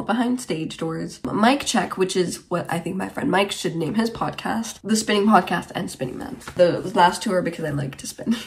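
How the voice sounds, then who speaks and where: A woman around twenty from Germany, hosting her podcast in casual, rambling chat to camera in a small room. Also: medium pitch. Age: about 20. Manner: rambling, chatty, tangent-prone. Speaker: podcast host. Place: small bedroom, indoors.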